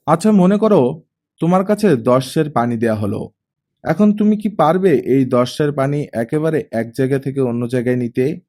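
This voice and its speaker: A man's voice: medium pitch, lively intonation, normal speed, dark tone, natural voice. A man voicing a talkative, playful, insistent young girl in an audiobook story. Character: chatty, eager young girl teasing her father. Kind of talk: audiobook character reading.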